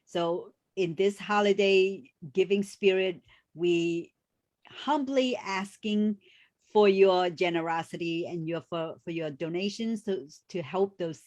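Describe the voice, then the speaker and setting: A woman co-hosting a casual video call chat, speaking with halting phrasing and many restarts. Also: on the low side, highs cut off. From refugee background. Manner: warm, halting, frequent restarts and fillers. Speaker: co-host.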